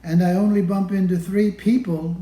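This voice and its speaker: A man reading a children's picture book aloud in a deliberate manner, pausing between phrases. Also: sits high, fairly even intonation, mellow. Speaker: storybook reader. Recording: roomy, echoey room sound.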